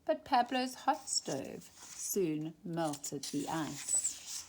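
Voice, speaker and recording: A woman reads a picture book aloud to children in an expressive style. Her pitch swings widely while the pace stays leisurely, and she stretches phrases for dramatic emphasis. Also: slight background noise. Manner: animated, dramatic emphasis with drawn-out phrases and hushed moments. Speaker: storytime reader.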